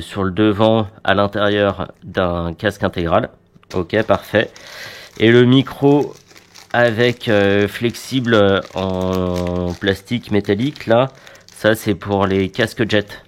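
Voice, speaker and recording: A man presenting a product review in a conversational, matter-of-fact, unscripted way. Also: on the low side.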